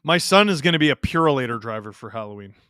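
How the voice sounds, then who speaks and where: A man's voice: mid-range voice, animated, fast talker, pushed and bright, no echo, studio quality. A man in his thirties from Canada hosting a live hockey watch-along, joking with chat and reacting to plays. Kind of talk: casual live-stream commentary.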